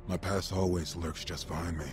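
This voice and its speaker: An actor playing a stern, honorable robot samurai in a video game, with proud and formal phrasing. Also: male voice, low-pitched, level tone, normal speed, close to an everyday voice. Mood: brooding. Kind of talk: dramatic video game voice acting. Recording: clear music behind the voice.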